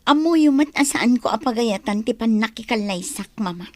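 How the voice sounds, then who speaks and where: A woman's voice: fairly high pitch, expressive, moderate pace, a little mellow, no room sound, natural voice. A woman playing an unhappy, resigned young bride in a radio drama, reluctant and plaintive. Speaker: actor in audio drama. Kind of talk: Ilocano radio comedy-drama acting.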